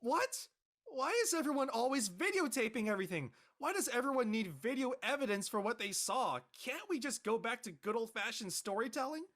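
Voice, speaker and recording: A man playing an exasperated, reluctant high-school boy in an amateur script reading, with a whiny, protesting manner. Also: big pitch swings, fairly quick, a bit bright, exaggerated voice. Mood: flustered. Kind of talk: amateur audio drama table read.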